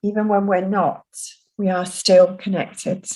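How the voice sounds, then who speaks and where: A woman leads a group through a guided self-soothing exercise. She talks very slowly and unhurriedly, in a soft, gentle, soothing way, at a middling pitch. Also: level tone, rough recording. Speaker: relaxation instructor.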